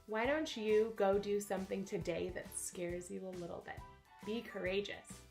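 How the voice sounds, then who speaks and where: A woman's voice: mid-range voice, fairly even intonation, normal speed, vocal fry. A woman presenting a story time for children, speaking simply and encouragingly, with music behind her. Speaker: camp director reading picture books to children.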